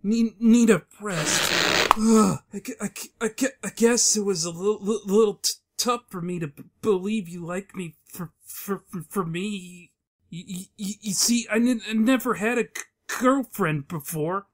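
A man's voice: high-pitched, measured pace. A man playing an insecure, lonely young man with a heavy stammer in a comic-book dub. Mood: nervous.

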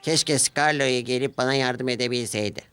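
A man's voice: medium pitch, normal speed, fairly bright tone, soft background music, close to an everyday voice. A man voicing an eager, earnest little male steam locomotive in a children's cartoon. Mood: dismayed. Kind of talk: children's cartoon character dialogue.

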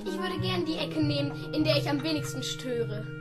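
Well-behaved seven-year-old boy played by a woman, high-pitched, courteous, formal diction, for children's radio drama. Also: animated, moderate pace. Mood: polite, earnest. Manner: formal, precise, overly courteous. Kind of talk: children's radio play acting. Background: music.

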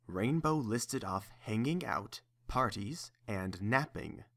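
A man's voice: on the low side, expressive, slow pace, fairly bright tone. A man narrating a scripted story.

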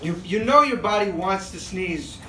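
A young man performing a stand-up set on a handheld mic in a small club, animated and acting out excited bystanders. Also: sits high, normal speed. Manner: animated, acts out bystanders with big excited outbursts. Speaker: stand-up comedian. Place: small comedy club stage, indoors. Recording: echoey club room with crowd noise.

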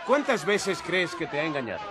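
A young prophet in a radio serial, direct and probing. His high voice moves expressively, with a crowd behind him. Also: very animated, brisk. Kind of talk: radio drama acting.

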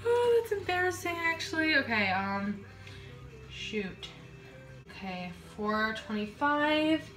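A woman's voice: high-pitched, very animated, slow, a bit bright, faint music behind. A young woman chatting informally and self-deprecatingly to a camera in a home kitchen, as a casual vlogger. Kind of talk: casual chat to camera while cooking.

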